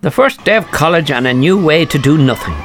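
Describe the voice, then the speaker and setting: A man's voice: a little high, animated, brisk, heavy background noise. A man as the wry narrator of a comic audio adventure, reading a script.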